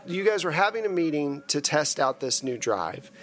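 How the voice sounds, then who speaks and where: A man's voice: on the high side, sing-song, normal speed, fairly bright tone. A man hosting as game master, narrating a tabletop sci-fi game in a conversational, explanatory way.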